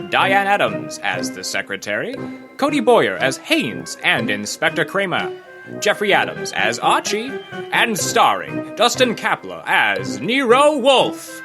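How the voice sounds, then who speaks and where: An announcer reading cast and production credits in a formal old-time radio style. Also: medium pitch. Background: music.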